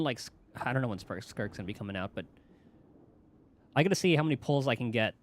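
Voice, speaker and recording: A man livestreaming a game with casual, off-the-cuff, rambling commentary. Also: medium pitch. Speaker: game streamer. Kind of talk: casual live game commentary, reading dialogue aloud and chatting. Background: game music.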